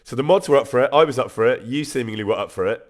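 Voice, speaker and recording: A man's voice: mid-range voice, very fast. A man with a bunged-up nose, chatting casually on a live stream, self-deprecating and full of ums. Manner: chatty, self-deprecating, thanks viewers warmly with frequent ums. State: congested, stuffy nose.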